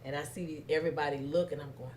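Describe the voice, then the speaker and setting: A woman hosting a talk show. She tells stories animatedly, with a loud voice that carries and lots of fillers. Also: low voice, fast talker, echoey room, a little hiss or hum. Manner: loud voice that carries, lively storytelling, many fillers. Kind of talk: casual chat.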